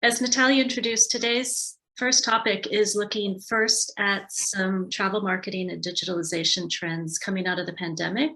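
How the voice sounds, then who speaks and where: American woman, tourism advisor, webinar presenter, low voice with vocal fry, casual delivery. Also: a bit bright, low-quality recording. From United States. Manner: friendly, loose delivery with frequent ums and restarts. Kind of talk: conversational online presentation. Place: indoors at home base in a city. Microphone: video call.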